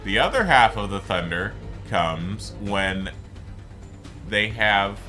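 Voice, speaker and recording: A man's voice: fairly low pitch, expressive, slow pace, bright, forceful tone, soft background music. A man giving casual, rambling, explanatory commentary on a video game. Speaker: gaming video host.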